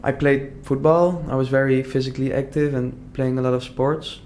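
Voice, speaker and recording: A young man from the Netherlands talks through his story in a loose, earnest interview. His voice sits at a middling pitch and he circles back often, stacking "like" and false starts as he thinks aloud. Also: little pitch movement, moderate pace, a little mellow, a little hiss or hum. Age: young adult. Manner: earnest, rambling, many "like" fillers and restarts. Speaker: entrepreneur guest. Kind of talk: casual conversational interview.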